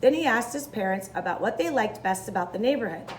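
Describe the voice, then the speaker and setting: A woman reading a children's story aloud in an expressive style. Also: mid-range voice, fast talker, a little hiss or hum. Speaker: storybook reader.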